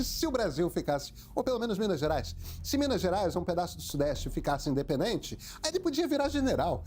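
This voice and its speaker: A man explains history in an animated documentary interview, now and then acting out what people said. His voice is high yet mellow in tone. A faint hum sits in the background. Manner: animated, explanatory, acts out quotes.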